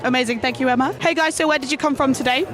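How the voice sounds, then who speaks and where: Woman with a high voice doing vox pops, loud crowd all around. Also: fairly even intonation. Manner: upbeat, quick questions. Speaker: roving reporter. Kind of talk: vox-pop interviewing. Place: busy trade show hall. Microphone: handheld mic. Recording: crowd noise of a trade show.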